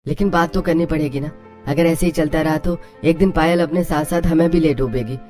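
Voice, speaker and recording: A woman's voice: sits low, steady tone, brisk, mellow, soft background music, close to an everyday voice. A woman playing a concerned, reasonable adult in a family story, matter-of-fact and questioning.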